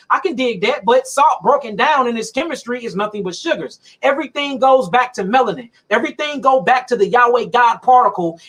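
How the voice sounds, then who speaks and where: High-pitched, preachy man. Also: a bit bright. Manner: emphatic, preachy, repeats points, colloquial. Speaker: alternative health speaker. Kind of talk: preaching monologue.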